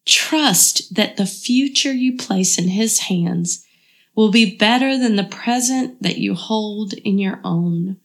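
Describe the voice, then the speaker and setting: A woman hosting a devotional podcast, earnest and encouraging, her voice a bit froggy from a cold. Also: medium pitch. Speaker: podcast host.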